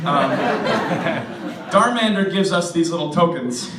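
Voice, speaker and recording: Hoarse American man, late twenties, rambling stand-up set, echoey bar room, noisy and distant. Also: a little high, animated, slow. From United States. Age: 20s. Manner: rambling, self-mocking, many restarts. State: hoarse from a cold. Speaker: stand-up comedian. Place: comedy club stage in a bar.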